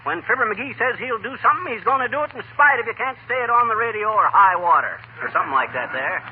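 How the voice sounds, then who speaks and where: An actor playing a stubborn, blustering, folksy husband, brash and slangy, in a 1940s radio comedy. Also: male voice, sits high, animated, quick pace. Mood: indignant. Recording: tinny, noisy 1940s radio recording.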